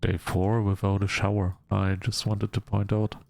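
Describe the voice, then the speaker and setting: A man reading a visual novel aloud as narration, with casual asides and some halting restarts. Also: fairly low pitch, little pitch movement, vocal fry, darkish tone, no room sound.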